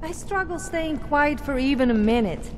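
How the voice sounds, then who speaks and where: A woman's voice: high-pitched, animated, normal speed, a loud crowd. A woman playing a chatty, irreverent young adventurer, a companion in a fantasy game, speaking casually. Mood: chatty, musing. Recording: echoing hall, loud ambient game noise.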